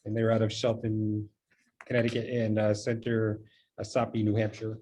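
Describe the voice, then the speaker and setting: A man's voice: on the low side, monotone, moderate pace, mellow. A man speaking as a town official, reading out and discussing documents in a meeting.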